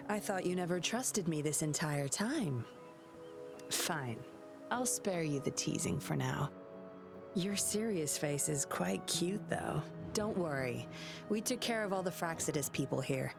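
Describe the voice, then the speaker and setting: A woman voicing a sly, confident female undercover investigator in a video game, with a coy, composed, teasing manner. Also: sits low. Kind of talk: scripted video game voice acting. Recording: music under the voice.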